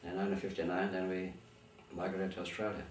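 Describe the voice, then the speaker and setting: An older man with a very deep voice, born in Bulgaria and raised first on Bulgarian, tells his family history in halting, restarting sentences. He sits some distance from the mic in an echoey room, with a faint hiss underneath. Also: little pitch movement. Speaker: interviewee. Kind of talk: recalling memories in an interview.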